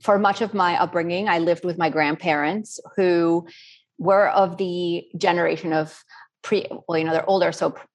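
Low-pitched woman, earnest interview guest. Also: steady tone, moderate pace. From Spain. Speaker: interview guest, self-taught cook. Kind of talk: conversational interview answers.

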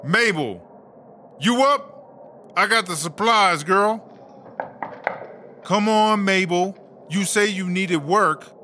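A man's voice: high-pitched, takes it slowly, pauses often, bright, forceful tone, a little hiss or hum, full character voice. A man playing a sassy, bossy, streetwise female prisoner in a comedy audio drama, with slangy, exclamatory drawling talk.

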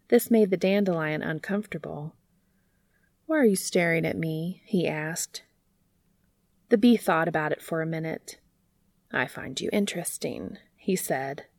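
A woman reading scripted story narration in a gentle, measured manner. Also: lowish voice, dry recording. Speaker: narrator reading fan fiction.

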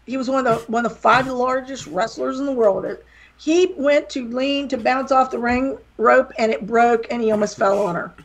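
Podcast guest, woman from West Virginia, light Southern accent, raised pitch with some fry, chatty and laughing. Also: steady tone, normal speed, poor audio. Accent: slight Southern, West Virginia. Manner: rambling, self-deprecating, laughs often. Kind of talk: casual interview chat.

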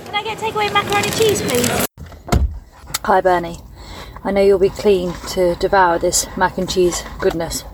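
A woman in her forties, a touring comedian whose first language was Polish, chatting excitedly in a casual vlog from her car and a service station shop. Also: medium pitch, moderate pace, slight background noise, poor audio. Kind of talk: casual vlog and personal storytelling.

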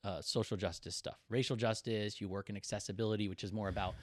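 A man's voice: fairly low pitch, steady tone, fast, no echo. A man hosting a conversational interview, framing questions thoughtfully with searching phrasing and many fillers. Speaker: podcast host.

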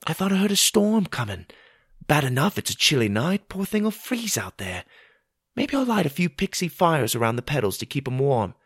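A man's voice: on the high side, very animated, moderate pace, fairly bright tone, breathy edge, no echo, clean studio sound, pitched above the performer's own voice, heavily acted. A man voicing a feisty, sarcastic fairy mother. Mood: worried. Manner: scornful, bossy.

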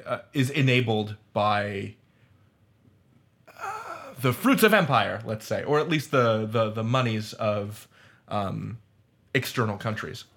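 A man's voice: on the low side, very animated, takes it slowly, a bit bright. Wry male podcast host. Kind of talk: panel talk and casual scripted host narration.

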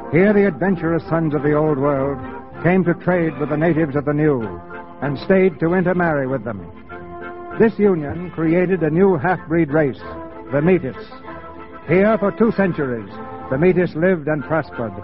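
A man's voice: fairly high pitch, highs cut off. A man narrating a 1940s radio drama in a scripted, dramatic style, on an old recording.

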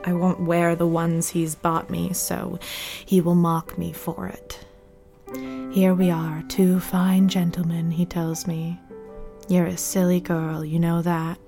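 An actress performs a bitter young 1950s wife in an audio drama diary monologue. Her brooding delivery is very slow and fairly flat, full of heavy pauses, with music playing clearly behind her. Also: on the low side. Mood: seething contempt. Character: bitter, haunted nineteen-year-old 1950s wife reciting her diary.

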